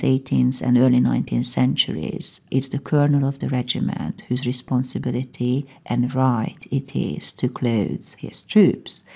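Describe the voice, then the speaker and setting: A woman from Hungary, a historian and university lecturer giving a measured, explanatory academic talk at a conference. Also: a bass voice, vocal fry, dark tone, slightly rough. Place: conference venue.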